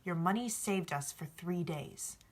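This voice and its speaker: A wise male alchemist from a storybook, read by a woman. He is calm and a little cheeky. His delivery is hushed and measured, like a mentor gently instructing. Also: fairly low pitch. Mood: stern, instructive.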